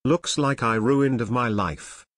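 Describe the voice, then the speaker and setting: A text-to-speech man voicing a young man in jail who pleads with his father in an animated fan video. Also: mid-range voice, measured pace. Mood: pleading. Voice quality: flat synthetic text-to-speech voice. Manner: whiny, pleading.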